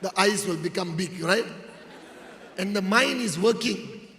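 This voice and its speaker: A Malaysian-accented male motivational speaker with a high voice tells stories to an audience. He takes his time, repeating phrases for emphasis and checking in with listeners. His voice rings with long reverb in a large hall. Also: a little slow, sharp tone, slight background noise. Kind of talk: motivational storytelling speech to an audience.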